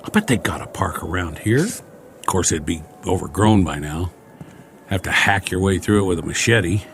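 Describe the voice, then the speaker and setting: Chatty American soldier, animated low voice. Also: male voice, moderate pace, slight background noise. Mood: nostalgic. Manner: folksy, rambling, joking. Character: chatty, wry, friendly American soldier, lonely war survivor. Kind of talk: radio drama acting.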